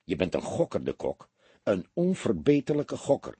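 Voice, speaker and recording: Junior detective for audiobook male reader acting him: husky, lively intonation, sceptical, teasing. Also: fairly low pitch, normal speed, no room sound, exaggerated voice. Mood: amused. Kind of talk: audiobook character dialogue.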